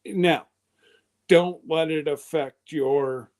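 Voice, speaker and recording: A man's voice: fairly high pitch, steady tone, drawn-out words, a little mellow. A man in his fifties hosting a live stream, chatting casually in a loose, rambling way. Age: 50s.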